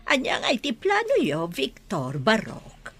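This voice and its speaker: An actress with an Ilocano accent playing a firm but forgiving elderly grandmother with a husky voice in a radio drama. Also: medium pitch, huge pitch swings, measured pace, fairly bright tone, soft background music, exaggerated voice. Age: older.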